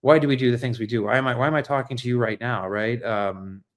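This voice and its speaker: An American man with a middle-range voice talks off the cuff to a livestream audience. He is earnest and reflective, feeling his way through each thought. His phrasing is halting, with repeated words and frequent tag questions. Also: medium pitch, fairly even intonation. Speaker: livestream host and essayist. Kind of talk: unscripted livestream monologue on politics and philosophy.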